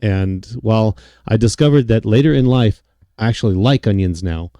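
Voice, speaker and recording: A man hosting a casual podcast chat, his voice deep and raspy from a cold, rambling and self-mocking. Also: moderate pace, dark tone, dry recording. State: hoarse from a cold. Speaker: podcast host.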